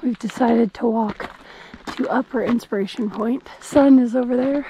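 A young woman talks in a fairly high voice. She is a travel vlogger, informal and full of enthusiasm, chatting into a handheld camera. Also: normal speed, noisy background. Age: young adult. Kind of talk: casual travel vlog chat. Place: handheld vlog camera, mostly outdoors, also car and hotel room. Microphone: camera at arm's length.

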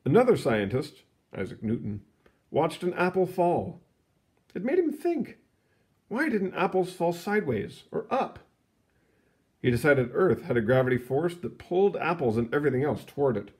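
A man reading a children's science book aloud in an expressive storytelling manner, stretching words for emphasis, with casual asides. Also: a little high. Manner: animated, storytelling delivery with drawn-out emphasis. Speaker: read-aloud reader.

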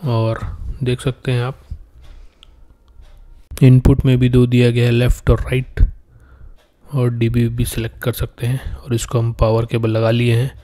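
A man's voice: medium pitch, completely flat delivery, unhurried, long pauses, mellow. A man giving casual, step-by-step unboxing commentary in a plain explaining manner. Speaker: product unboxing presenter.

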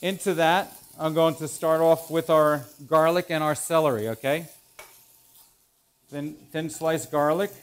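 Man, 40s, chef instructor, relaxed and explanatory, slightly higher voice, pan sizzling behind. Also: measured pace, clear, resonant tone. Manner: relaxed, explanatory, thinking aloud while working. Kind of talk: cooking demonstration. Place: large professional teaching kitchen, indoors. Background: food sizzling in a pan.

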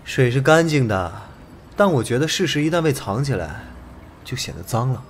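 A man's voice: mid-range voice, sing-song, moderate pace, a little hiss or hum, lightly acted. A man playing a bold, articulate young police detective who is a little flippant, in an audio drama. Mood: casual, defiant. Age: young adult. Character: bold young male police detective, cheeky with his father. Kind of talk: Chinese audio drama acting.

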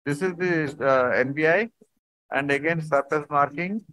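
A man, a surgeon, explaining surgical video clips in a halting conference talk over a video call. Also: a little high, fairly bright tone, no echo, poor audio. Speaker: surgeon presenting cases.

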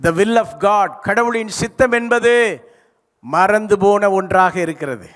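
A man's voice: high-pitched, takes it slowly, a bit bright. An older male pastor preaching a sermon into a handheld microphone, stretching key words and calling for answers from the congregation. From South India. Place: large church stage. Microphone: handheld mic. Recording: live church hall reverb.

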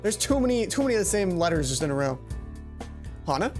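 A man's voice: high-pitched, sing-song, quick pace. A man hosting a let's play, chatting casually from a home room into a headset. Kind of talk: casual game commentary. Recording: game music behind.

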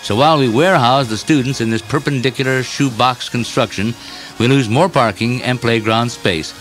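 A man's voice: mid-range voice, normal speed, a bit bright, poor audio. Emphatic radio newsman with music underneath. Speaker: radio news reporter and commentator. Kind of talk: scripted radio editorial.

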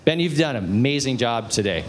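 A man's voice: mid-range voice, brisk, heavy background noise. A man in his forties moderating a medical conference panel, speaking energetically into a handheld mic in a conference room. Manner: energetic, gestures while talking.

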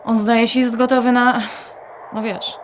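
A woman's voice: on the high side, flat delivery, a little slow, a little hiss or hum, lightly acted. An actress playing a worried, wry adult daughter confiding her troubles in a naturalistic audio drama. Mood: distressed, confiding.

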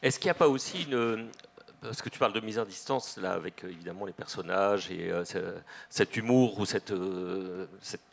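A man's voice: lowish voice, vocal fry. A middle-aged man co-hosting a literary panel, asking long questions with many hesitations into a handheld mic. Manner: halting questions with many fillers. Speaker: panel co-host. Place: large festival tent with live audience. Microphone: handheld microphone.

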